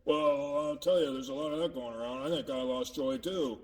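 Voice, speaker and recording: A man voicing a sad, subdued puppet who speaks in a glum, halting way and trails off. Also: mid-range voice, fairly even intonation, distant mic, lightly acted. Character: sad, subdued forest-fire survivor puppet named Mike. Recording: echoey hall with long reverb.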